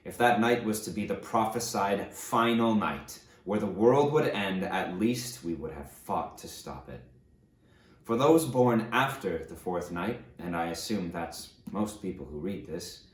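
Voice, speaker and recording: A very low-voiced aged conqueror, acted by a man, full of guilt and self-loathing. He delivers his confession like a dramatic audiobook, solemn and deliberate. The sound rings with noticeable room echo. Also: animated. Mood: grim recollection. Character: aged fallen tyrant conqueror, remorseful, self-loathing, grandiose.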